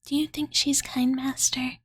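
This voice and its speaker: Casting: woman playing sweet, slightly pouty dragon girl for ASMR bedtime roleplay; very breathy whisper, unhurried, close and dry. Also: high voice, flat delivery, dry recording, voice pushed up. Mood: cute musing. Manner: slow, gentle, valley-girl filler "like", refers to self in third person. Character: cute, childlike dragon girl, devoted and slightly pouty, telling bedtime stories. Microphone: close studio condenser microphone, dry.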